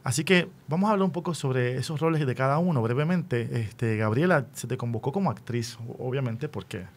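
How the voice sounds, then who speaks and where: A man's voice: medium pitch, animated, brisk. Puerto Rican man hosting a radio interview. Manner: frequently checks in with rhetorical tag questions.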